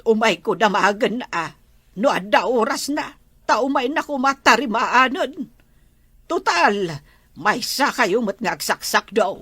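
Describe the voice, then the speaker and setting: Woman acting a husky, nosy Ilocano neighbour. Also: a little high, expressive, a little slow, pushed and bright, no room sound, heavily acted. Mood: nagging. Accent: Ilocano, northern Philippines. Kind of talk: Ilocano comedy drama acting.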